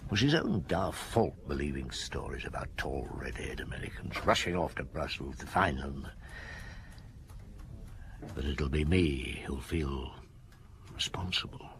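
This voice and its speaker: Shrewd, commanding police chief, radio drama. Measured, probing, lively pitch range, slight background noise. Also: male voice, heavily acted. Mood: brooding, muttering. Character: shrewd, authoritative male Paris police chief inspector.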